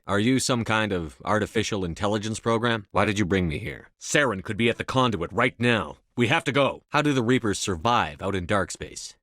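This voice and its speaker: A resolute starship commander in a video game. His voice sits low and is recorded very dry. He speaks tersely, with firm authority, like someone used to giving orders. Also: fairly bright tone, no echo. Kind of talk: video game voice acting, scripted dialogue lines.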